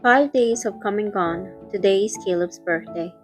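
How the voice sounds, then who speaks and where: A woman's voice: mid-range voice, moderate pace, faint music behind. A woman reading scripted first-person narration for a romantic audio drama, also voicing its heroine.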